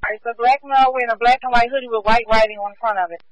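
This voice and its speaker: A woman police dispatcher on the radio, briskly repeating locations and warnings to units. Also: on the high side, steady tone, no room sound, rough recording.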